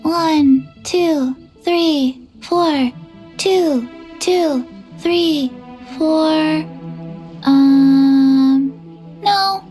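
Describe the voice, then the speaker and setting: A woman voicing a sluggish, forgetful little girl in a video game, halting and blank, with soft music behind. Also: sits high, rough recording. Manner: halting, flat, drowsy.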